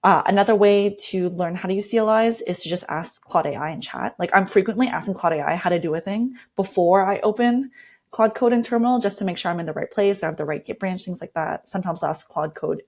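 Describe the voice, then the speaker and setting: A woman's voice: mid-range voice, fast, darkish tone. A woman speaking as an interview guest, explaining and walking through tips in a conversational way. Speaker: interview guest, design lead.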